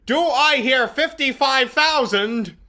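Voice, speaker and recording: Man as a very high, bright, fussy auctioneer. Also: slow pace, full character voice. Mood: brisk, formal. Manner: formal, repetitive auction calling. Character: fussy, obedient crooked auctioneer. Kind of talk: old-time radio adventure acting.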